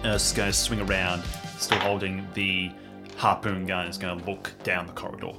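A man's voice: low-pitched, level tone, a little fast. A man playing in an improvised audio drama game, describing and acting out what his character does. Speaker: player in an audio drama roleplay. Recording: clear music behind the voice. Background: music.

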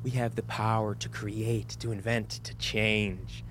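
Arrogant low-voiced scientist over noise. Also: male voice, little pitch movement, unhurried, a bit bright. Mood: scornful. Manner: condescending, blunt. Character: arrogant, zealous male scientist, scornful of moral scruples. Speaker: actor in a student audio drama. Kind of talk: audio drama acting.

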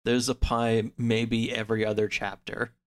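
A man's voice: fairly low pitch, level tone, normal speed, background noise. Informal man chatting as podcast guest. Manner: informal, wordy, full of "like". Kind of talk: casual chat about comics.

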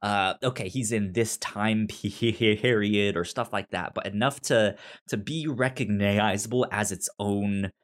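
Man with a halting, stuttering delivery. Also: fairly low pitch. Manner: halting stutter, repeats sounds and syllables. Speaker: podcast co-host. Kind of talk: casual TV discussion chat.

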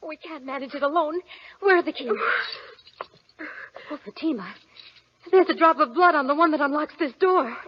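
Very high-voiced, practical young sister. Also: female voice, expressive, normal speed, pauses often. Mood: urgent, alarmed. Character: young woman, practical, loyal sister of a frightened bride. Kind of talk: old-time radio drama acting. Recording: noisy, muffled old radio recording.

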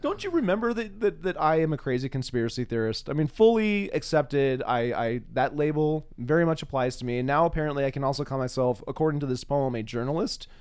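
A man's voice: a little high, animated, fast, hardly any pauses, darkish tone, clean tone, dry recording, clean studio sound. A man hosting a podcast interview in a casual, conversational way, with a wry, playful and self-mocking manner.

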